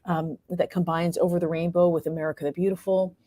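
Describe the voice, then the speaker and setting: A woman's voice: fairly low pitch, level tone, quick pace, mellow, no echo. A woman answering interview questions as a playwright and performer, conversational and reflective, often searching for words.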